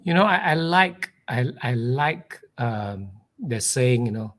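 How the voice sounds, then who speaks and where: A man's voice: medium pitch, lively intonation, takes it slowly, fairly bright tone. Chatty upbeat man hosting a live show. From Australia. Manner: chatty, lots of fillers and repeated starts. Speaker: host. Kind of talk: live online show interview.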